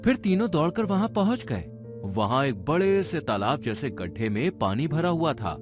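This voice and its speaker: A man's voice: on the high side, sing-song, normal speed. A man narrating a scripted dramatic story in a suspenseful storytelling manner. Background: background music.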